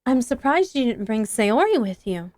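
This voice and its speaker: A woman voicing a poised, friendly teenage club president who speaks calmly and a little teasingly. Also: a little high, moderate pace, dry recording. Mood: friendly, knowing.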